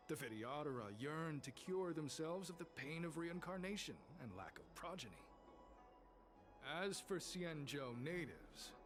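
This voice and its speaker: An actor playing a smooth-talking, devout cult recruiter in a video game, speaking in a formal, persuasive and grandiose manner. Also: male voice, on the high side. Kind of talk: scripted video game dialogue. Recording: game music behind the voice.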